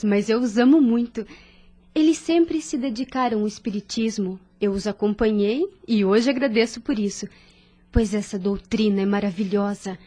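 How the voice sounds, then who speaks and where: A woman playing a kind, devout young nurse in an audio drama, with a Brazilian accent and a gentle, earnest manner. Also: mid-range voice, natural voice.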